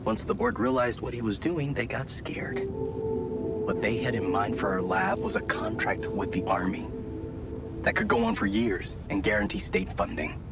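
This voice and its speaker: A man's voice: lowish voice, big pitch swings, moderate pace. A man acting in a video game cutscene as an anxious, earnest scientist colleague, with hesitant, stammering conversational delivery. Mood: bitter, confiding. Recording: music playing behind the voice.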